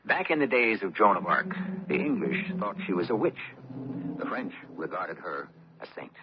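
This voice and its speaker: A man hosting an old radio mystery, giving scripted narration in a measured, dramatic storytelling manner. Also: deep voice, very animated, background noise. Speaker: host and narrator. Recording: muffled old radio recording, loud music. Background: prominent music.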